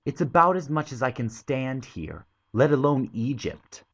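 A man's voice: medium pitch, big pitch swings, moderate pace, a bit bright, slightly rough, voice pushed up, full character voice. A man voicing a matter-of-fact eldest boy in a storybook reading, decisive and a little curt. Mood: grumbling. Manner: curt, plain-spoken.

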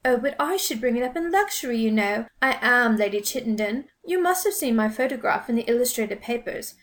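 A woman's voice: fairly high pitch, expressive, a little fast, voice pushed up, lightly acted. A woman voicing a grand, vain society lady with gushing, affected upper-class speech.

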